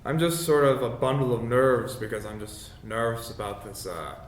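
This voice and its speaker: A man chatting casually as a player in a tabletop roleplaying game, hesitant and often rephrasing. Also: moderate pace. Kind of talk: casual improvised roleplay chat. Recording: roomy echo, faint background noise, rumble.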